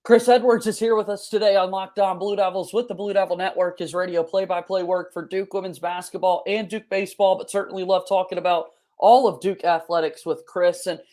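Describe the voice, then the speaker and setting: A man's voice: high voice, flat delivery, brisk, hardly any pauses, darkish tone. A man hosting a sports podcast, asking questions and setting up topics in a conversational interview style.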